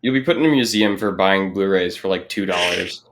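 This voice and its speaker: A man's voice: low voice, a little fast, slight background noise. A man hosting a casual podcast, moderating the chat in a conversational, clean-spoken way. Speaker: podcast host.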